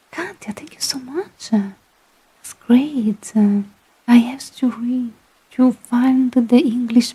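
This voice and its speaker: A woman from Italy with an Italian accent, whispering and softly chatting with hesitant fillers as an ASMR streamer. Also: fairly high pitch, slightly rough, poor audio. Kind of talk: soft-spoken ASMR storytelling and chat.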